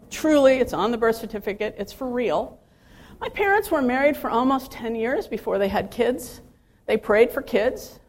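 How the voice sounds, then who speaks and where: A woman's voice: medium pitch, a little mellow. A woman in her fifties giving a personal, faith-centered talk to staff, chatty and self-deprecating, with many fillers and restarts. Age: 50s. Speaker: speaker addressing ministry staff.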